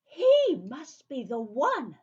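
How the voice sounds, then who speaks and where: A woman's voice: high-pitched, wildly swooping pitch, slow pace, darkish tone, lo-fi sound, heavily acted. A woman voicing a flustered, comical monster in a children's audio play. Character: flustered, squeaky wild thing monster.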